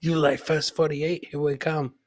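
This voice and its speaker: A man's voice: on the high side, little pitch movement, moderate pace, dry recording, studio quality. A man hosting a live comedy podcast, giving casual, rambling commentary on clips and repeating his catchphrases.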